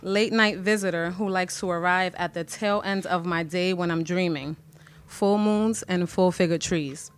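A woman performing spoken word poetry at a reading, slow and measured. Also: on the low side. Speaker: poet reader.

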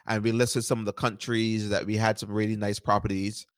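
A man from Barbados in his thirties, guesting on an interview show. His voice sits low, and he rambles easily with lots of fillers and repeated words. The recording is dry and close. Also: fairly quick, dry recording. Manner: relaxed, repeats words and uses many fillers. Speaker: startup founder and podcast guest. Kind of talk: conversational interview answers.

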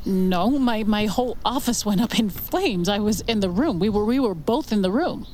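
A woman's voice: medium pitch, expressive, moderate pace, darkish tone, slight background noise, close to an everyday voice. An actress playing an anxious, haunted young mother in a horror audio drama, hesitant, tense and earnest. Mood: insistent, shaken. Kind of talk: audio drama narration and dialogue.

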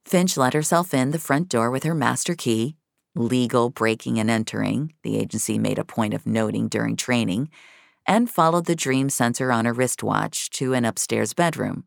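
A woman narrates scripted fiction in a very deep voice. There is a gravelly creak to it, and the sound is close and dry. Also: normal speed, dry recording.

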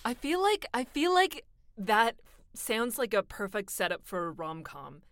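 A woman's voice: on the high side, very animated, measured pace, a bit bright, no echo, clean studio sound. Chatty young woman, laughing often. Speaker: voice actor guest. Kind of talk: casual interview chat.

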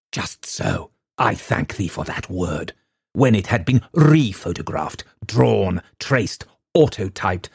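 A man's voice: deep voice, dry recording, studio quality. A man voicing an irascible old father who guards a painting, snarling and scornful in a storybook reading. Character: irascible old male art-collector father. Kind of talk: audiobook reading.

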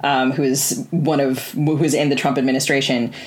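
Deep-voiced woman podcast host. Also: level tone, normal speed. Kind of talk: casual interview conversation.

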